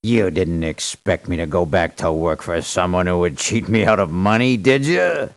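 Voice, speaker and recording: An actor playing a tough, cynical, irritable mercenary in a video game, blunt and dismissive. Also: male voice, low voice, normal speed, dry recording. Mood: indignant. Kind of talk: video game character lines.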